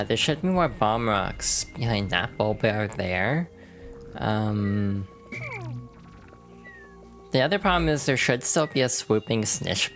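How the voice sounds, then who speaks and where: A VTuber streamer with a hoarse, froggy voice from allergies, chatting casually while playing a game and laughing at their own slips. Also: mid-range voice. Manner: chatty and self-deprecating, many fillers, laughs through words.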